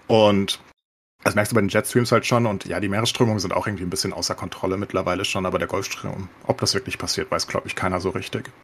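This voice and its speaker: A man's voice: sits low, little pitch movement, fast, fairly bright tone, slightly rough. A man explaining things in casual podcast chat, rambling and colloquial, dropping in English slang. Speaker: podcast co-host.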